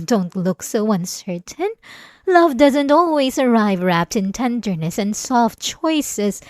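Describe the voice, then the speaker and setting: A woman's voice: fairly high pitch, a little mellow, dry recording. A woman playing an obsessive, possessive centuries-old vampire in an ASMR roleplay, speaking in a theatrical, silky, menacingly tender way. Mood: coaxing, menacing.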